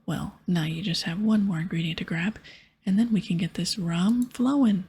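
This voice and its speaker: A woman voicing a bossy, sarcastic male pirate captain from a game in a breathy whisper. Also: lowish voice, normal speed, dark tone. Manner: brusque, sarcastic, teasing. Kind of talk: whispered game dialogue reading.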